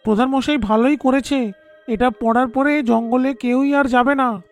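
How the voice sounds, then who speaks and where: A man playing a worried, earnest younger village man in an animated horror story. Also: very high-pitched, normal speed, faint music behind.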